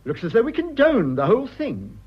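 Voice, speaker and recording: An actor playing a prim, fussy, earnest son with an upper-class English accent in a radio drama. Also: male voice, very high voice, wildly swooping pitch, a little fast, husky, lo-fi sound, full character voice. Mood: anxious, aggrieved. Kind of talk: stage comedy of manners radio acting.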